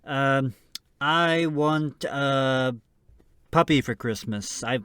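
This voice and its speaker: A man co-hosting a radio show with casual banter, speaking in a hesitant, halting way. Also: medium pitch, a bit bright, clean tone. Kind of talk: casual scripted banter and introduction.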